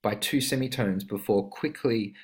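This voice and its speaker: A man giving an informal, step-by-step screen-recorded tutorial on music production software, talking as an instructor. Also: fairly low pitch, moderate pace. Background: synth instrument notes played during the demonstration.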